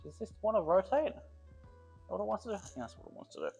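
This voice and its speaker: Lively man rambling through a workout. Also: high voice, fast, gravelly, over music. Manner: rambling, joking, thinks aloud between sets. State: slightly congested from a cold. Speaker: personal trainer. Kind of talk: casual workout vlog talk.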